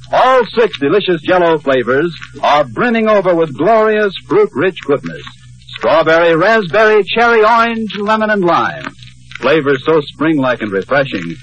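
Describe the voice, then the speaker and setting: Animated high-voiced vintage radio announcer. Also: male voice. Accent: American. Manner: polished, upbeat sales delivery. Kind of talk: 1940s-50s scripted radio commercial. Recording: dull lo-fi old radio recording.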